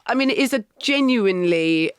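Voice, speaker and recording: A woman co-hosting a podcast in casual chat. She talks in animated bursts, full of restarts and quick asides. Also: mid-range voice, smooth, dry recording, studio quality. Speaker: podcast co-host.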